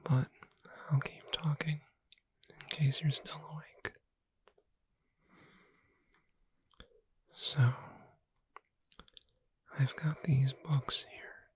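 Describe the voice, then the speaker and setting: A woman playing a gentle witch bookseller in a soothing, hushed, whispered ASMR roleplay, close to the microphone. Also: low voice, steady tone, slow pace, pauses often. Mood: calm, gentle. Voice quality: breathy whisper. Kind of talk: soft-spoken ASMR roleplay. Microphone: close microphone in a dry space.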